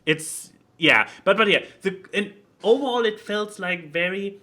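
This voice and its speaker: A man's voice: high-pitched, measured pace, bright, forceful tone. A man chatting casually about a book, rambling and repeating words as he thinks aloud. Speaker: podcast speaker reviewing a book. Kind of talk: casual opinionated chat.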